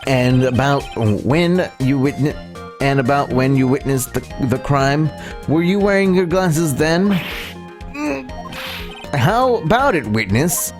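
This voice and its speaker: A woman's voice: extremely low pitch, animated, full character voice. Woman voicing a stammering male courtroom lawyer, music behind. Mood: pressing. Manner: halting and stammering, repeats words. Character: flustered, stammering male defense attorney pressing a witness. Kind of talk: amateur voiced playthrough of courtroom game script.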